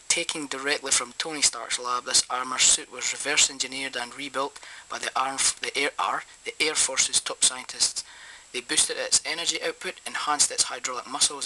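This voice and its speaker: A man's voice: medium pitch, fairly even intonation, fairly quick, few pauses, bright, forceful tone, slight background noise, rough recording. A Scottish man from Scotland reviewing an action figure at his desk in a casual vlog, enthusiastic and rambling with lots of fillers. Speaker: toy reviewer. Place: small room at a desk.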